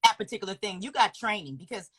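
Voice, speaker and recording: A woman from Los Angeles hosts a relaxed interview chat. Her voice is bright, and she talks in an animated, slangy way. She keeps the conversation going with warm, encouraging interjections. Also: mid-range voice, quick pace, husky. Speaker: host.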